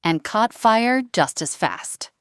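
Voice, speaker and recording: A woman reading scripted dramatic fiction as narrator, in a measured storytelling manner. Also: mid-range voice, very animated, clean tone, dry recording, clean studio sound.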